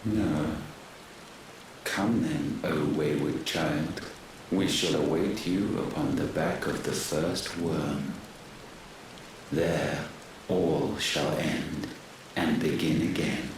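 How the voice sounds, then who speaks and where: An ancient, imperious god from a fantasy game, extremely deep and cold. The words come solemnly, in a huge echo over hissing rain. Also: male voice. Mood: solemn, commanding. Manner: solemn, slow, grandly archaic. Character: ancient godlike male being, cold and imperious. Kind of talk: fantasy video game acting. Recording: cavernous echo, distant, hissing rain noise.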